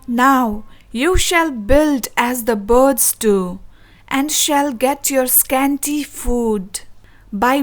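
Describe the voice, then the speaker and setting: A woman with an Indian English accent voicing a stern, hungry old saint who scolds emphatically. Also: sits high. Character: angry hungry saint, older man, stern.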